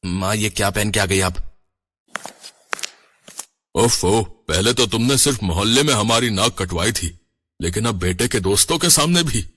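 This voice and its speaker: A man's voice: on the low side, expressive, unhurried, long pauses, a bit bright. A man voicing pointed, sarcastic young men, sons and their friends, in a cartoon story. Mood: angry.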